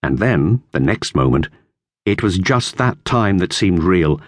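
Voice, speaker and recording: A man narrating a classic children's novel in measured, expressive storytelling. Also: deep voice, no room sound, studio quality. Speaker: audiobook narrator.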